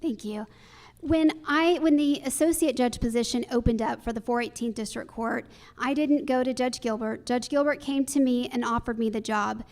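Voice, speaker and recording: A woman with a clearly high-pitched voice speaks as a judicial candidate at a forum. Her answers are measured and explanatory, laid out point by point. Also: a little mellow.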